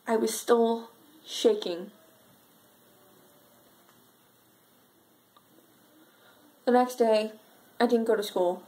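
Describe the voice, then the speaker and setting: Woman acting sad schoolgirl, high earnest voice. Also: dark tone, natural voice. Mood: shaken, fearful. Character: sad, frightened schoolgirl recalling her missing friend. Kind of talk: amateur dramatic monologue.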